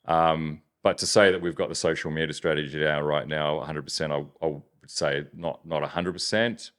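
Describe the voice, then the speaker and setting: A man from New Zealand with a softened Kiwi accent, chatting casually as a business owner in an interview, rambling with many restarts. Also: rumbling low, steady tone, fairly quick. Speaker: business owner, podcast guest.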